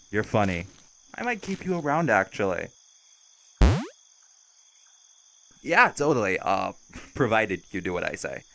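A man's voice: on the low side, gravelly, pushed and bright, full character voice. A man playing a cheerful, cocky vampire who teases, flirts and casually threatens in an intimate audio roleplay. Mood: amused, then flirty, smug.

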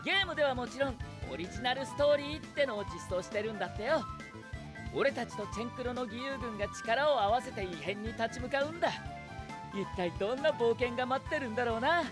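A spirited young hero swordsman from a fantasy game. The voice is high and boyish with very lively pitch, brash and hearty in rough casual speech, over clear background music. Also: fast talker. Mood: eager, confident. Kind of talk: scripted game promo narration in character.

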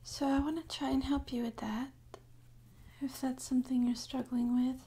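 A woman speaking softly and half-whispering in a gentle, soothing, unhurried way, as an ASMR guide leading a sleep meditation. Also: high-pitched, level tone. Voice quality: soft, breathy, half-whispered.

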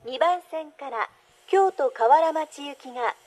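Woman, station announcer, high voice, unhurried pace, formal measured delivery, recorded platform announcement. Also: a little mellow. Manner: formal, measured, carefully enunciated.

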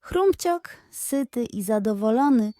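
Female bedtime storyteller for children: high voice, very unhurried scripted narration. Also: animated, dark tone, no room sound.

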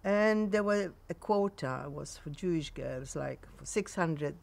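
A woman in her nineties with a Polish accent, recounting memories in a halting way in a sunny garden. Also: deep voice, animated, creaky, a little mellow. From Poland. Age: 90s. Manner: halting, with frequent pauses and restarts. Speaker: interviewee.

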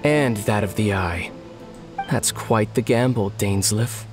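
An actor playing a composed, proud young male antagonist in a video game, speaking formally and deliberately. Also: lowish voice, music in the background. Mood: cool.

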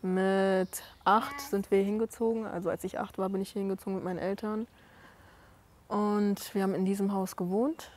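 A young woman in her twenties talking in a documentary interview, thoughtful and hesitant, often pausing to rephrase. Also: medium pitch, fairly even intonation, a little mellow, roomy sound. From Germany. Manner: thoughtful, hesitant, many fillers and restarts. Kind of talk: reflective documentary testimony. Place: small room indoors and street outdoors.

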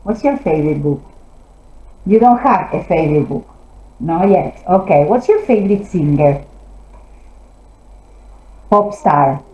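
A woman teaching English to children, encouraging them and gently correcting their answers in an interactive classroom lesson. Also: lowish voice, animated, unhurried, pauses often. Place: classroom, indoors. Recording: roomy, slightly dull classroom audio.

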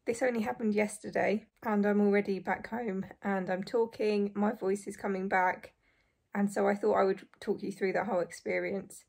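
A young woman in her twenties, hoarse and croaky after surgery, chatting casually to camera from a small bedroom. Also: medium pitch, flat delivery, moderate pace, a little mellow. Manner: chatty, relieved, frequent light laughs. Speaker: vlogger. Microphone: phone or camera close in front.

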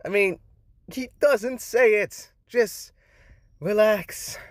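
A man's voice: high voice, extremely slow, no echo, clean studio sound. A man voicing an anxious, placating, devoted young boyfriend in a cartoon comic dub. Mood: flustered pleading. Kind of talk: fan comic dub acting.

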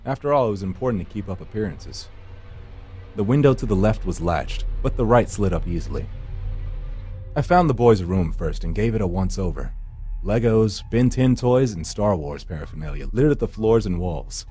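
A male actor plays a secretive hired finder from the edge of death. His voice is low and moves around a lot, dry and theatrical as he narrates a horror game. Faint music sits behind him. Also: expressive, moderate pace, dark tone, natural voice. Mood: dry, sardonic. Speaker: narrator and lead character. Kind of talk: first-person horror visual novel narration. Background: background music.

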